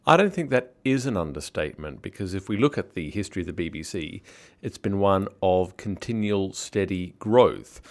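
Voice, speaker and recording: A man who writes on media, a studio guest explaining the broadcaster's cuts in a measured way. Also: low-pitched. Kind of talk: studio analysis.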